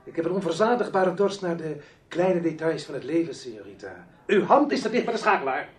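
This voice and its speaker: An actor playing a sly, menacing gentleman who speaks with theatrical, teasing courtesy in a Dutch radio drama. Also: fairly high pitch, animated, fairly quick, breathy edge. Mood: teasing, ominous. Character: sly, menacing foreign gentleman lurking in the dark.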